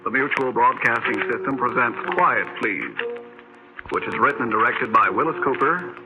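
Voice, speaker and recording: A man's voice: medium pitch, normal speed, poor audio. A man announcing a program formally in 1940s radio style, on an old broadcast recording. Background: music.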